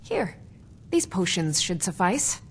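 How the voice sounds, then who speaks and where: An actress voicing a proud, opinionated middle-aged alchemist shopkeeper in a fantasy video game. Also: female voice, lowish voice, big pitch swings, slow, noisy background, full character voice. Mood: brisk, chatty.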